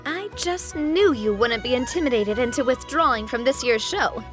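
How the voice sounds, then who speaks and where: A woman's voice: sits high, lively intonation, moderate pace, over music. An actress playing a fiery, fiercely loyal young woman boss in a video game, with a dramatic, sassy, confident manner. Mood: upbeat.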